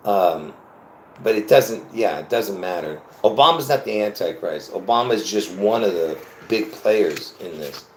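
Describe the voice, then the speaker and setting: A man's voice: lowish voice, lively intonation, measured pace, a little hiss or hum. A man hosting a casual solo livestream, talking in a rambling, chatty way with frequent laughs and restarts. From born in Lebanon. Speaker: livestream host. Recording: roomy echo.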